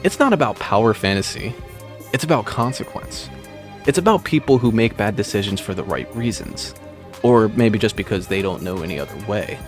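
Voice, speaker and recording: A man reading a scripted video essay in a dry, wry, measured way. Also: on the low side, animated. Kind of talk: scripted narration. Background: background music.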